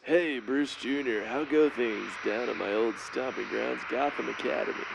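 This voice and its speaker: A man plays a suave cartoon billionaire uncle with a creaky, gravelly voice. He speaks in a measured, patronizing, patient way over clearly audible background noise. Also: mid-range voice. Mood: patient, reassuring. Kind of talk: comedic cartoon voice acting.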